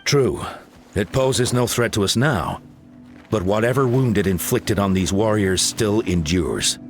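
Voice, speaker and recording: Guy voicing a solemn old-school chief type in a game, deep low voice, formal and grave. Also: unhurried, faint music behind, lightly acted. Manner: formal, explanatory, somber. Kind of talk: video game voice acting.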